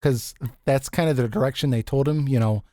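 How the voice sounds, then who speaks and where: A man with a deep voice hosting a casual group chat, easygoing and quick to laugh, often restarting his sentences. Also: mellow, dry recording, clean studio sound. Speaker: podcast host.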